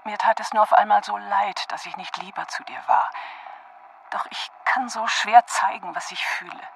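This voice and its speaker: A woman playing a shy, tender letter writer in a radio drama, speaking softly, intimately and with hesitation. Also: medium pitch, steady tone, normal speed, creaky, a little hiss or hum, natural voice. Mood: tender, wistful.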